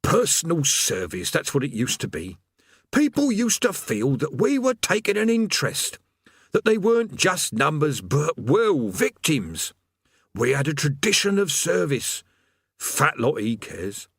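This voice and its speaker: A put-upon demon gatekeeper, acted by a man, grumbling and mournful in a raspy rumble. The pitch lifts and swoops widely, and the sound is dry and close, as in an audiobook. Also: wildly swooping pitch, unhurried, fairly bright tone, dry recording, exaggerated voice. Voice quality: rasping, rumbling. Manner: plodding recited customer-service patter breaking into chatty working-class grumbling.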